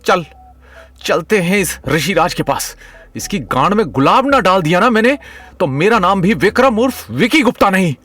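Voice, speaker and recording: A man playing a hot-headed, foul-mouthed, panicky young man in an audio comedy drama, loud and exasperated. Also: high voice, animated, moderate pace. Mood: exasperated, angry.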